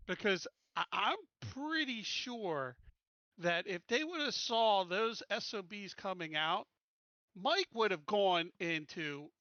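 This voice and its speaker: High-pitched, bright-voiced male gamer. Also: animated, takes it slowly, no room sound, dull audio. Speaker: tabletop game player. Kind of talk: casual game chat.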